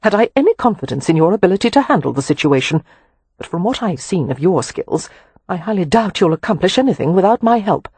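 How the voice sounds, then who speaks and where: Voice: medium pitch, fairly quick, breathy edge, dry recording. Narrator doing a prim, acerbic governess. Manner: acerbic, calm, sarcastic. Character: prim, sharp-tongued young governess. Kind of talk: audiobook character voice.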